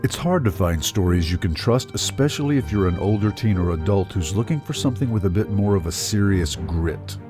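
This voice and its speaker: A man's voice: on the low side, animated, fairly quick, few pauses. A man reading a scripted promotional narration as an advertisement announcer. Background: music.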